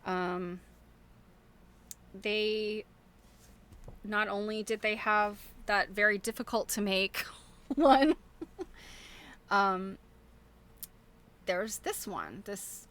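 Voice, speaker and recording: Bright-voiced woman rambling casually. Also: mid-range voice, slow, long pauses. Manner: rambling, thinking aloud, chuckling. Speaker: host of a quilting show. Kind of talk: casual show-and-tell chat.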